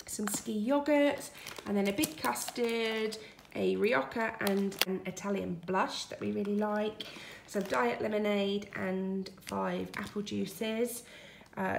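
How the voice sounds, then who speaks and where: A woman's voice: a little high, gravelly. A woman, chatting casually as she lists her family's weekly groceries for a home vlog, filmed on a phone at arm's length. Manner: chatty, listing items one after another. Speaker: family vlogger. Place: home kitchen, indoors. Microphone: phone camera at arm's length. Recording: echoey kitchen with faint noise.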